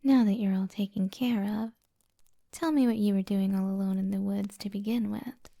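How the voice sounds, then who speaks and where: A woman playing a kind, flirty witch healer in an intimate ASMR roleplay, speaking in a soft, breathy, often whispered voice with gentle teasing. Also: mid-range voice, steady tone. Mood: tender, teasing. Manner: slow, soothing, gently teasing.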